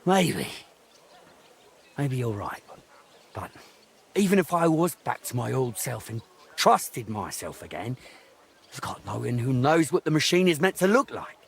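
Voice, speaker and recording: An actor playing a middle-aged, washed-up drunken master craftsman in a video game, halting, grumbling and self-pitying, with a gravelly, husky voice. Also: medium pitch, sing-song, heavily acted. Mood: hesitant, rallying. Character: ashamed washed-up drunken old master clockmaker, grumbling and reluctant. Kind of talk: video game drama acting.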